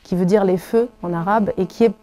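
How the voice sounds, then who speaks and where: Soft-spoken woman with a warm voice. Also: medium pitch, steady tone, brisk. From Algeria. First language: Arabic. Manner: thoughtful, many hesitations and restarts. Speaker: singer being interviewed. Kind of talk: reflective interview answers. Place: hotel room.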